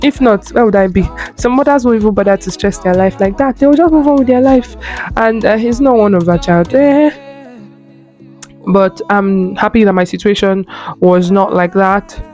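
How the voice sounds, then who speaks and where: A woman's voice: mid-range voice, moderate pace, a little mellow, soft background music. A woman in her early twenties with a Nigerian Igbo accent, whose first language is Igbo, chatting earnestly to camera about her own life, with many fillers and restarts. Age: early 20s. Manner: chatty and earnest, with frequent fillers and self-corrections. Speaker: lifestyle creator. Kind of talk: personal storytelling to camera.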